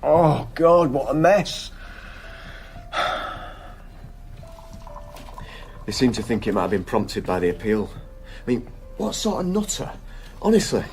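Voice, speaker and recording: A man's voice: mid-range voice, sing-song, normal speed, darkish tone, soft background music. An actor playing a weary, anguished husband and father in a naturalistic radio drama. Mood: exasperated.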